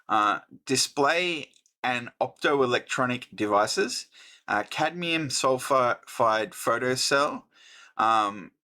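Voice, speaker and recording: A middle-aged man with an Australian accent talks through an old handbook in an unhurried, thinking-aloud way, pausing often and restarting his sentences. His voice is fairly bright with a forward edge, and his pace stays slow and relaxed. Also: mid-range voice. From New South Wales, Australia. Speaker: host. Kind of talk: casual hobby electronics book review.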